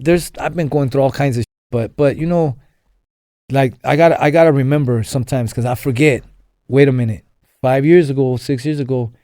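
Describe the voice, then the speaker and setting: A man's voice: mid-range voice, mellow, no echo, studio quality. A young man from Los Angeles, a podcast guest telling his story in a rambling way, full of fillers and restarts. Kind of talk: casual storytelling interview.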